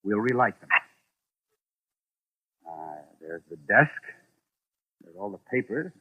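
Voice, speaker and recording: A man's voice: on the low side, big pitch swings, takes it slowly, slightly rough, exaggerated voice. An actor playing a knowing, grimly mysterious male investigator in a 1940s radio mystery, giving clipped orders and ominous explanations theatrically. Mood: grave, explanatory. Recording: muffled vintage radio recording.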